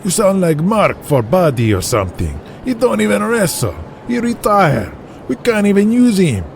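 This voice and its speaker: Wrestling sidekick, exasperated, lively pitch swings, dropped articles, audio drama. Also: male voice, moderate pace, creaky, slight background noise, full character voice. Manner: broken English, dropped articles, blunt. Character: blunt, exasperated foreign wrestler, right-hand man.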